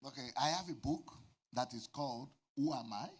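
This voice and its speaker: A man's voice: mid-range voice, moderate pace, husky. A man from Nigeria, a Yoruba speaker, preaching and giving a candid testimony in short phrases for an interpreter. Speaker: pastor.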